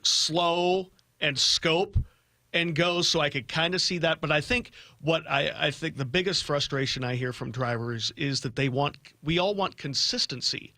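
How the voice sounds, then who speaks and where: A man's voice: a little high, moderate pace, bright, forceful tone, no echo. A man on a radio show talking casually and unscripted at a close studio microphone. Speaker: radio colleague.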